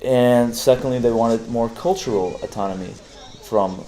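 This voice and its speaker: A man's voice: medium pitch, measured pace, dark tone, slight background noise, low-quality recording. A man giving a reflective documentary interview.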